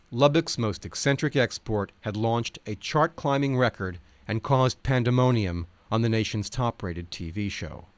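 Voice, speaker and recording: A man's voice: on the low side, no room sound. A man reading nonfiction aloud as a narrator, in an articulate, wry, wordy style.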